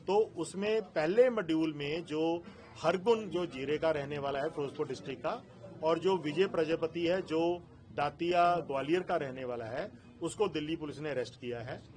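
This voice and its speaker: A man with a Punjabi accent speaking as a senior police officer at a press briefing, explaining things haltingly. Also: fairly high pitch, a little mellow, a little hiss or hum. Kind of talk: press briefing in Hindi mixed with English.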